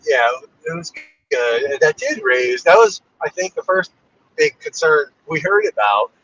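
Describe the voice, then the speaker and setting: Animated husky man on lo-fi audio. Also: fairly high pitch, a little slow, no room sound. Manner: animated, dry joking. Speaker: host of a live stream. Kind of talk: casual live-stream chat. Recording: dull lo-fi sound.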